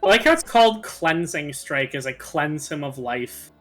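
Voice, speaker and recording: Gamer chatting w friends, explains stuff matter of fact, middle pitch, game music behind. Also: animated, moderate pace, a bit bright. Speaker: gaming commentator and player. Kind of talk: casual game commentary with friends. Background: video game music.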